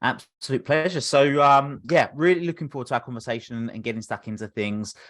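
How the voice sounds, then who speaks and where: A man hosting a podcast, reading a guest introduction and then asking questions with some halting repeats. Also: mid-range voice, a bit bright, no room sound, clean studio sound. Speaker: podcast host.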